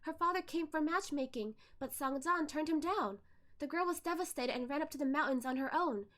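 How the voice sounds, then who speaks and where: A woman voicing a sorrowful young female ghost, telling her tale in a halting, plaintive way for a story reading. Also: sits high, fairly bright tone, pitched above the performer's own voice. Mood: sad, bitter.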